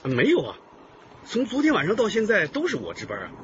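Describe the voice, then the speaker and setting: Radio drama: flustered, deferential security guard, quick and high, slightly dull recording. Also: male voice, huge pitch swings, a bit bright, a little hiss or hum, full character voice. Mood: eager, obliging. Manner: stammering, deferential. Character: eager, flustered male building security guard.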